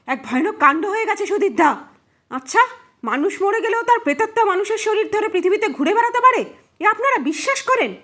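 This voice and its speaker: A woman's voice: extremely high pitch, very animated, brisk, a bit bright, pitched above the performer's own voice, heavily acted. A woman voicing an agitated young village man with frightening news in an audio story, breathless and urgent. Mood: alarmed, urgent. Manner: breathless, alarmed, urgent questioning.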